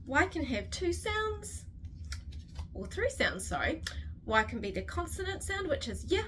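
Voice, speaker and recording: A woman's voice: high voice, big pitch swings, a little slow, fairly bright tone, a little hiss or hum. A woman with a New Zealand accent teaching phonics, carefully sounding out each letter sound in a structured tutorial. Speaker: literacy teacher. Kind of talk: slow instructional phonics tutorial.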